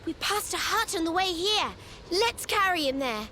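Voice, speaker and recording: A woman's voice: very high-pitched, lively intonation, normal speed, bright, forceful tone, slight background noise, full character voice. A woman voicing a plucky, caring young adventurer in a video game, with urgent, emphatic delivery. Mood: concerned. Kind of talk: video game heroine voice acting.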